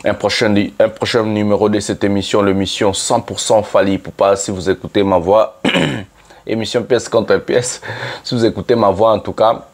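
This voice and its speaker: Man, very deep voice, has a cold, rambling, clears throat, casual channel host. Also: fairly even intonation, normal speed. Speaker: YouTube music commentary host. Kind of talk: casual monologue to subscribers.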